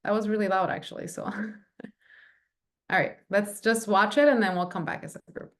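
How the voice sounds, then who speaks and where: A woman's voice: medium pitch, steady tone, moderate pace. A woman leading a training session over a video call, chatty, with lots of fillers and "right?". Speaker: workshop facilitator.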